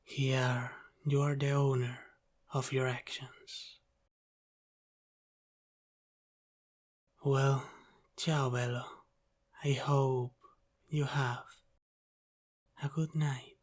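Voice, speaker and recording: Casting: male actor as Italian-American mob boss for ASMR roleplay. Extremely slow, even-toned, calmly menacing and teasing, often sinking to a whisper. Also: medium pitch. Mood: calm warning. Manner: deliberate, halting, teasing, often dropping to a whisper.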